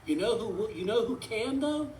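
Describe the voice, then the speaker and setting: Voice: medium pitch, animated, moderate pace, a little mellow, pitched above the performer's own voice, close to an everyday voice. A storyteller voicing an earnest, exasperated little spork toy with an insistent, pleading manner. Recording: roomy, distant mic, faint noise.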